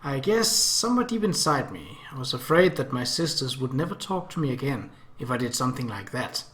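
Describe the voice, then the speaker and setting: A man's voice: mid-range voice, big pitch swings, fairly quick, a bit bright. Man reading aloud in an echoey room. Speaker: let's play host reading a game aloud. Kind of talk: reading visual novel narration and dialogue aloud.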